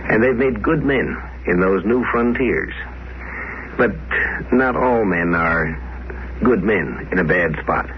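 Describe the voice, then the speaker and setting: A man hosting and narrating a 1950s radio drama in grave, deliberate scripted phrases. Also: on the low side, expressive. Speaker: host and narrator. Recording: dull, noisy old 1950s radio recording.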